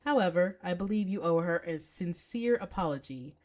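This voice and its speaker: An elderly landlady from a visual novel, read by a woman, grandmotherly but stern. Her speech is measured and formal, in a slightly low voice. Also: lively intonation, a little mellow, lightly acted.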